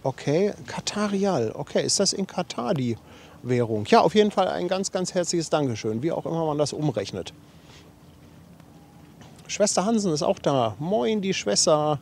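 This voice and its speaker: A man's voice: a little high, very animated, normal speed, creaky, a little mellow, slight background noise. A man with a slightly runny nose from a cold, chatting casually as a livestream host and reading out viewer messages in a chatty, self-deprecating way. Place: outdoors on a harbour riverbank.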